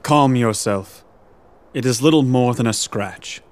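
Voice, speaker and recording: Casting: male actor as ambitious young heir to a warlord, mid-pitched, slow, grave and formal game-epic delivery. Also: close to an everyday voice. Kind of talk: dramatic English game dub.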